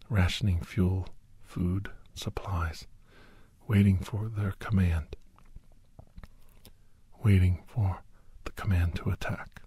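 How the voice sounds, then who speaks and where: A man acting as a grave doctor recounts a dark monster tale for ASMR listeners. His voice is a very husky, breathy whisper, close and dry. He moves slowly and deliberately, repeating phrases and leaving pauses. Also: low voice, dry recording, full character voice. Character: Doctor Andrew Michaels, grave male storyteller recounting a werewolf encounter. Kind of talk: whispered ASMR dramatic first-person storytelling.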